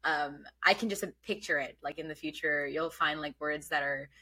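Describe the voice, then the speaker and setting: A woman's voice: low voice, steady tone, moderate pace. A woman from Bangladesh, not an English speaker, hosting a podcast interview and asking questions with many ums and restarts. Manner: warm curious questioning with many fillers. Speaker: podcast host. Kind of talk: casual interview.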